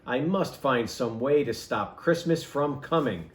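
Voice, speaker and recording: Guy doing a sour old storybook monster, snarly and scheming, slow talking. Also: mid-range voice, lively intonation, echoey room, lightly acted. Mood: sneering, nervous. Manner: snarling, sneering, sly. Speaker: storybook reader.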